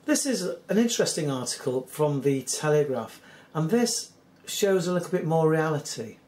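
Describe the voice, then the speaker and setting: A hoarse British man hosting a solo commentary, reading out news articles in an earnest, skeptical, measured way. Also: fairly high pitch, sing-song, a little mellow. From United Kingdom. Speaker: channel host.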